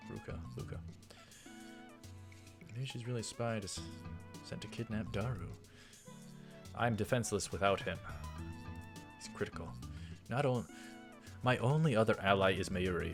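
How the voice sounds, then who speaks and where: Low-pitched man reading a game aloud. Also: creaky, over music. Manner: halting, repeats words, interjects mild exclamations. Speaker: let's play host. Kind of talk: reading visual novel text aloud with casual commentary.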